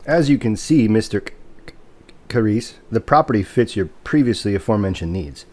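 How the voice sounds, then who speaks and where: A man playing a stuttering, low-voiced formal broker. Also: lively intonation, brisk, darkish tone, lightly acted. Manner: formal, precise, courteous. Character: formal, stuttering male property broker, coolly courteous. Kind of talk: audio drama dialogue.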